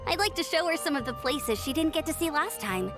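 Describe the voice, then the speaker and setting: A woman's voice: high voice, expressive, brisk, music in the background. A woman voicing a friendly, caring, upbeat young female knight with a breezy manner in a video game. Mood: cheerful.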